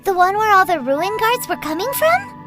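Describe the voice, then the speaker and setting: A woman voicing a tiny, cheeky fairy girl companion in a video game, squeaky and fretful. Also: very animated, normal speed. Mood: doubtful, fretful. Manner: squeaky, fretful, refers to herself by name. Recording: loud music behind the voice.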